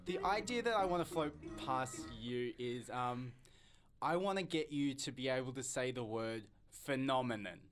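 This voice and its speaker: A man hosting a radio show with lively presenting and banter. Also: mid-range voice, moderate pace, music in the background.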